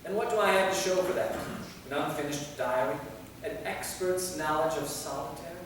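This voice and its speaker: A man's voice: fairly high pitch, moderate pace, fairly bright tone, natural voice. Man acting a weary immortal in an echoing hall. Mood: regretful. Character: weary six-hundred-year-old male immortal, fearful, regretful, bookish. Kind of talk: staged play reading. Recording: very echoey hall, far mic, faint noise.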